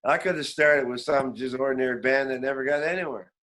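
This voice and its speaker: A Canadian man in his seventies telling rambling, animated stories in a casual, reminiscing way as an interview guest. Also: mid-range voice, fast. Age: 70s. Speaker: veteran musician, interview guest. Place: remote online call.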